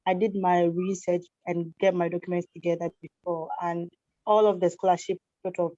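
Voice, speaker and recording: Low, mellow woman on a call. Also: level tone, moderate pace, dry recording. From Ghana. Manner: direct, repeats her advice for emphasis. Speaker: scholarship recipient panelist. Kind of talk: online webinar talk. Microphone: video call. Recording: dull, dry lo-fi call audio.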